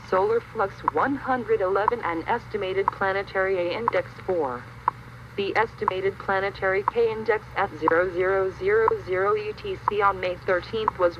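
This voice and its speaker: Woman announcer on dull, noisy shortwave. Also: medium pitch. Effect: shortwave radio. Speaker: time station announcer. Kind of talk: scripted formal bulletin reading.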